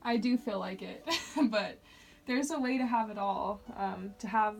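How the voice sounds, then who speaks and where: A woman's voice: medium pitch, unhurried, low-quality recording. Chatty woman giving a psychic reading. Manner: chatty, lots of "like" and "um" fillers. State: slightly raspy, short of water. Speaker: clairvoyant reader. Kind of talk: casual one-on-one tarot-style reading.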